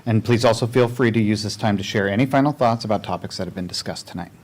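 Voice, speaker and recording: A man's voice: on the low side, fast talker, a little mellow. A man who directs the local chamber of commerce, asking a closing question in a courteous, appreciative way.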